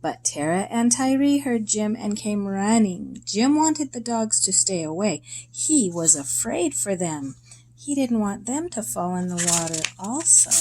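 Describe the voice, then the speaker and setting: A woman reads a children's story aloud at an unhurried, careful pace. Her voice sits at a medium pitch, and she shapes short phrases expressively with pauses between them. A faint noise runs behind her. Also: dark tone, low-quality recording.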